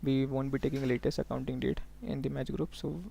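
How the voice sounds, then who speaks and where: A man working as a software trainer, giving a step-by-step live tutorial in an instructional way, often prompting listeners to follow along. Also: medium pitch, little pitch movement, brisk, vocal fry, a little hiss or hum. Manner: instructional, often checking in with okay and look here.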